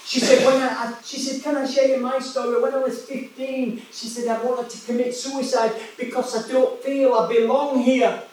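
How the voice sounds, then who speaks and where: A man's voice: very high-pitched, level tone, moderate pace, darkish tone, recorded from a distance. Yorkshire man preaching in an echoey hall. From West Yorkshire, England. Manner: conversational, storytelling, many restarts and repetitions. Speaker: evangelist preacher. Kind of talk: sermon.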